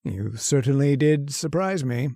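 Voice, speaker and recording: A man's voice: medium pitch, expressive, unhurried, gravelly, mellow, no echo, clean studio sound. A man playing a nervous, henpecked, long-lived husband of a witch in a radio horror drama, given to wistful storytelling and flustered stammering. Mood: nervous, evasive. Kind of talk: old-time radio horror narration and acting.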